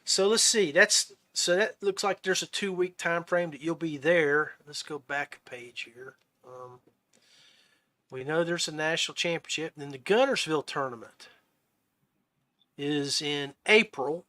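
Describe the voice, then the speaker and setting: A man's voice: fairly high pitch, measured pace, sharp tone. A man hosting a podcast, asking questions and chatting in a casual, conversational way. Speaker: podcast host. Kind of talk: casual interview chat.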